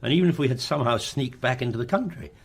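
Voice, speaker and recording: Quick, husky gentleman sleuth. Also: medium pitch, expressive. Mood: puzzled. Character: inquisitive gentleman amateur sleuth, polite and earnest. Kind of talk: radio mystery drama acting.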